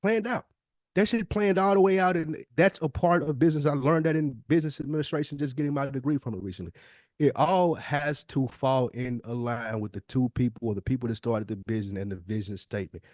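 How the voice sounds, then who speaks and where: A man's voice: medium pitch, a little fast, darkish tone, no echo, clean studio sound. A man in a conversational podcast discussion, explaining emphatically and posing rhetorical questions as a co-host.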